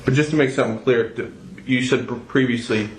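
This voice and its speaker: A man's voice: mid-range voice, fairly quick. A man working as a police interrogator, asking clarifying questions in an interview. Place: indoor police interrogation room. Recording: echoey police interview room recording.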